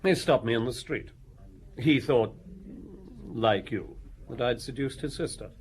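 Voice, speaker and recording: An actor playing an aloof, drily mocking gentleman in a radio drama, with curt, evasive answers. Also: medium pitch, unhurried, natural voice, low-quality recording. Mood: dry.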